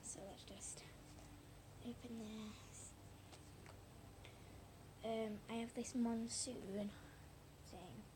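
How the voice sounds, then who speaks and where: A fairly high-pitched woman speaks over clear background noise in an amateur home recording. Her voice is hoarse and giving out, often fading to a breathy whisper. She talks informally and a little apologetically, as if showing something to viewers while she works. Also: fairly even intonation, drawn-out words, pauses often. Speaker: slime video maker talking to viewers. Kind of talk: casual hands-on demonstration chat to camera. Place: at home indoors.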